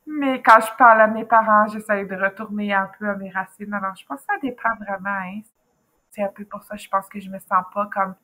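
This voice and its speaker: A woman teacher with a softened Québécois accent, chatting casually as an interview guest, full of fillers and restarts. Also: medium pitch, rough recording. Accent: Québécois French, softened. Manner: chatty, many fillers and restarts, trailing off into asides. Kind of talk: casual chat.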